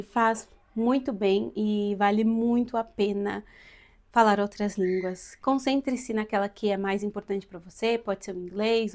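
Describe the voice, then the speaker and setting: A woman in her late twenties from São Paulo with a São Paulo accent, a teacher giving viewers chatty, encouraging advice in a casual vlog. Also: mid-range voice. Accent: São Paulo Brazilian Portuguese. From São Paulo, Brazil. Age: late 20s. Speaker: vlogger and Portuguese teacher.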